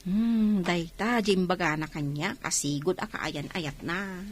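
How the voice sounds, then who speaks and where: A woman playing an unhurried, discreet household relative in a Philippine radio drama. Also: on the low side, a little hiss or hum. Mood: discreet, musing.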